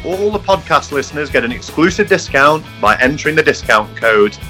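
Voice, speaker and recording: Bright, excitable man in a comic ad. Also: fairly quick, faint music behind. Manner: excitable sales pitch. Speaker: advert voice. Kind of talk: scripted comic radio ad.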